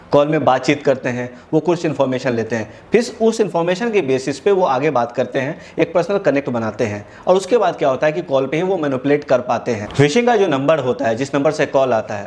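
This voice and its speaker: A man's voice: mid-range voice, darkish tone. An Indian-accented man presenting an educational tech video, explaining things step by step and mixing in English terms. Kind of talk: explanatory tutorial narration.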